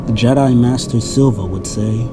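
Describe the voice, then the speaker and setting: A man's voice: mid-range voice, moderate pace, background noise. A man narrating a scripted story as the narrator of an audio drama. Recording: loud music bed under voice.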